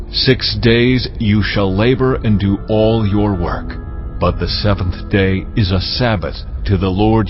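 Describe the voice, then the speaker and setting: An actor playing God, solemnly proclaiming commandments in a dramatized scripture reading. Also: male voice, on the low side. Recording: dull sound with music behind. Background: music.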